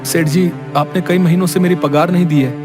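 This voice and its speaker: Casting: village labourer for an animated folk tale played by a man, lighter male pitch, deferential but straight-talking. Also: fairly quick, music in the background, natural voice. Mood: pleading, resigned. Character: plain-spoken village working men: vendor, servant, cart driver. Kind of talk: animated moral-story cartoon acting.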